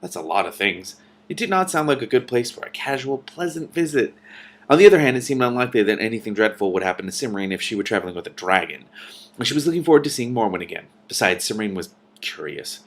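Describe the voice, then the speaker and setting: A man reading a novel aloud as host, with animated, casual reactions between passages. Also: mid-range voice, quick pace.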